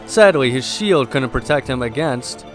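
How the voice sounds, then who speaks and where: A man hosting a gameplay video, mixing casual commentary with lore read aloud, in a somewhat halting delivery. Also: mid-range voice, lively intonation. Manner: halting, stumbles while reading aloud. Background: game music.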